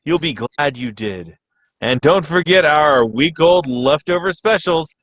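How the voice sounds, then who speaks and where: A man playing a radio announcer, delivering a parody commercial as a polished, deadpan sales pitch. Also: unhurried. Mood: earnest selling. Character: smooth-selling announcer of a parody cafe ad.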